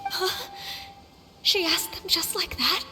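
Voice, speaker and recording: Gentle young maiden, very high voice, courteous, modest, video game, faint music behind. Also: female voice, big pitch swings, slow, full character voice. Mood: shy, surprised. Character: gentle, modest, polite young half-adeptus woman.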